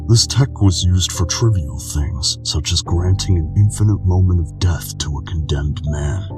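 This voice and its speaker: A man voicing a weary, calm, melancholic old keeper of memories in a game fandub, speaking in a measured, solemn way. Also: deep voice. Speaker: amateur voice actor in a game fandub. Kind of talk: dramatic scripted monologue, game dubbing.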